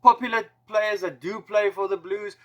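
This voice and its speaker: South African man with a higher voice. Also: steady tone, normal speed, a bit bright. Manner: chatty, joking asides, slightly higher-pitched delivery. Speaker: rugby YouTuber. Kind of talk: casual explainer vlog.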